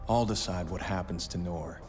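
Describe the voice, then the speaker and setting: A man plays a young American game hero. His voice sits a little low and is plain and terse, wary yet earnest. Loud music plays behind him. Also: normal speed, natural voice. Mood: earnest, regretful. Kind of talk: video game cutscene acting.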